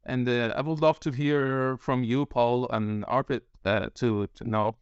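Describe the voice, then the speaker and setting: Man from Iran, guest tech lead, halting, crisp dry tone. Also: mid-range voice, steady tone, no room sound, dull audio. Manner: hesitant, many fillers. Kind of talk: casual panel talk.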